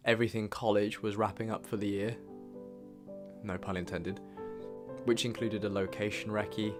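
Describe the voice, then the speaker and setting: A young man with a British accent narrates in a fairly low voice over prominent music. The delivery is a scripted, reflective voice-over, like a personal video diary. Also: level tone, moderate pace. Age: young adult. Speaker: video creator narrating own year review.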